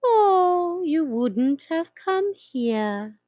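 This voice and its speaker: A woman putting on a sly, slow-drawling storybook cat. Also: very high voice, sing-song, darkish tone, dry recording, pitched above the performer's own voice, heavily acted. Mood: teasing. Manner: slow, drawn-out, teasing delivery. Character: sly grinning cat, teasing and mysterious. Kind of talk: storybook reading.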